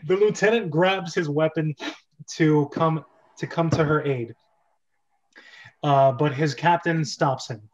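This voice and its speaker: A man's voice: a little high, slow pace, pauses often. A man narrating stage directions in a casual amateur script reading, breaking off into chatty asides. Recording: dull, lo-fi voice recording.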